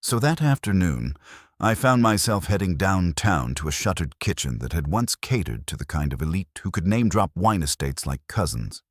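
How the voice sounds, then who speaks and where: A man's voice: deep voice, sing-song, normal speed, no echo, clean studio sound. A man narrating a scripted first-person detective story in a wry, hardboiled way. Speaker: audiobook narrator.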